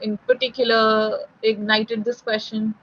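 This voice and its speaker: A woman's voice: a little high, flat delivery, unhurried, lo-fi sound. A young woman from Delhi with a Delhi Indian English accent, tutoring spoken English in a conversational online lesson and often checking in with "right?".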